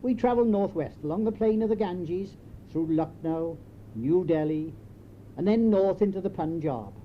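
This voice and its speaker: An older British man reading his own written memoir in a measured, wry, understated storytelling manner. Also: high voice, expressive. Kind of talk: scripted memoir narration. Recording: dull lo-fi home tape, faint noise.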